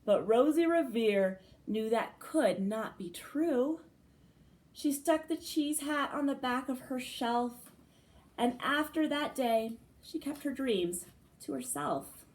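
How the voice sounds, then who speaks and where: A woman preschool teacher reading a storybook aloud for young children, with dramatic phrasing and pauses between short phrases. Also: high voice, darkish tone.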